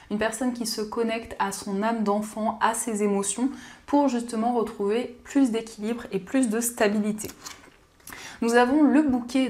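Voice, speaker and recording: A woman giving a gentle, soothing daily card reading, slightly hoarse from a cold. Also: on the high side. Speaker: card reader.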